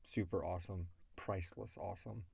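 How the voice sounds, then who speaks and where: Low-voiced man, casual podcast host. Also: fairly even intonation, a little fast, creaky, breathy edge, no room sound. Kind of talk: casual question-and-answer chat.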